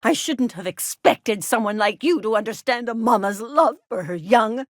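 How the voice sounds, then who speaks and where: Woman acting high-pitched, fretful, smothering frontier mama. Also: expressive, moderate pace, dry recording, clean studio sound, natural voice. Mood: bitter, protective. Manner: melodramatic, fretful, folksy. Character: doting, smothering, anxious middle-aged frontier mother. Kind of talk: video game character dialogue.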